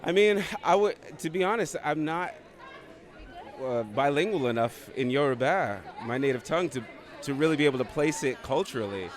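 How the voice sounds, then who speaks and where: An American man, a guest on a red carpet, answering in a thoughtful, measured way with some restarts. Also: on the high side, animated, some chatter behind. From United States. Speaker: actor guest. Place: outdoor red carpet event. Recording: outdoor event with music.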